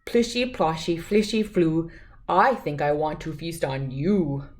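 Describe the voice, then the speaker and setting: A woman's voice: sits low, a little slow, a little mellow. A woman voicing a menacing male gar fish, a storybook villain who grumbles and growls singsong threats. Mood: menacing, hungry.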